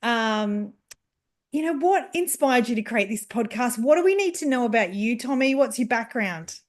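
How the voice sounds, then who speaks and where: A woman's voice: on the high side, expressive, moderate pace. Encouraging woman interviewer. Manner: encouraging, asks open questions. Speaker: podcast host. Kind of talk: casual interview talk.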